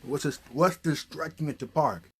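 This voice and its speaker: A man's voice: fairly high pitch, normal speed, fairly bright tone, husky. A man hosting a puppet show, chatting casually and encouragingly and prompting with questions. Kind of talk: casual, unscripted chat.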